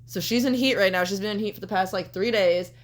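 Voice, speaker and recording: A woman's voice: medium pitch, quick pace. Young woman hosting a podcast, stuffed up and sniffly, swears a lot, rambles w/ ums. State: congested, coughing, sniffly. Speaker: podcast host. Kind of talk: casual podcast chat.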